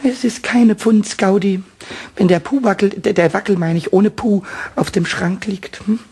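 A cheeky little male kobold in a radio play, squeaky and a bit breathy. He stammers and frets like a naughty child caught out, then wheedles. The voice sits high and husky. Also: fairly even intonation, normal speed, darkish tone. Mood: pondering.

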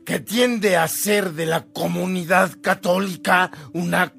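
Grave defiant bishop, husky, creaky, slow emphatic delivery, radio drama. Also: male voice, sits high, fairly even intonation, a bit bright, heavily acted. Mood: defiant, proclaiming. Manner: solemn, emphatic, deliberate.

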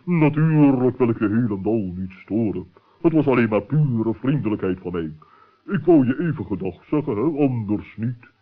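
Fussy neighbourly owl voiced by a man, mid-pitched, pompous and wordy, nosy but courteous, tinny old children's radio play. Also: animated, moderate pace, natural voice. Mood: nosy politeness.

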